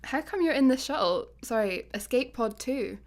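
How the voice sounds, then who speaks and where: A woman's voice: high-pitched, lively intonation, normal speed. A Scottish woman playing a laid-back, literal-minded space satellite employee in a sci-fi comedy radio play, dry and matter-of-fact. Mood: puzzled.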